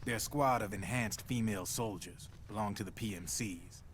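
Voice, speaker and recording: An actor playing a cocky, streetwise arms dealer in a video game, easygoing, slangy and confident. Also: male voice, fairly low pitch, normal speed, slight background noise, close to an everyday voice. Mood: informative. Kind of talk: dramatic video game voice acting. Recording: loud music behind the voice. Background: loud music.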